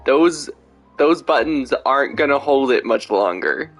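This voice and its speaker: A man's voice: medium pitch, animated, a little slow, fairly bright tone. A man giving casual, joking reaction commentary as he plays a video game. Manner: informal, joking. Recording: faint game music behind.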